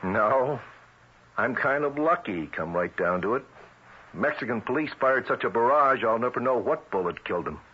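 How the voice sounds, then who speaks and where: A man's voice: medium pitch, animated, normal speed, lightly acted. An actor playing a tough but reassuring government lawman in a radio drama, wry and easygoing. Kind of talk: 1970s radio drama acting. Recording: muffled, hissy old radio broadcast.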